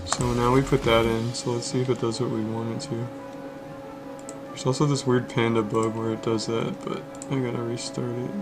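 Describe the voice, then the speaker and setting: A relaxed young man streaming, walking viewers through code in a flat, easygoing way with lots of filler words. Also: medium pitch, normal speed. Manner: monotone, relaxed, lots of "like" and "basically". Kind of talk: casual coding stream walkthrough. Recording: music playing under the voice. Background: music and keyboard typing.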